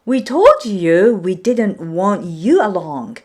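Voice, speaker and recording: A woman's voice: mid-range voice, sing-song, takes it slowly, darkish tone, pitched above the performer's own voice. A woman voicing the scornful, exasperated older brothers in a storybook folktale, scoffing and dismissive. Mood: annoyed scorn.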